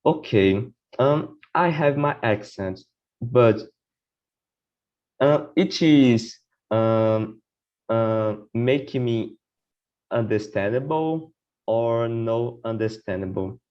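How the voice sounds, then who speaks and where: A man's voice: medium pitch, darkish tone, highs cut off. A man, a podcast guest in casual conversation, who often restarts his sentences and keeps checking in with "right?". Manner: halting, many restarts, repeats "right?".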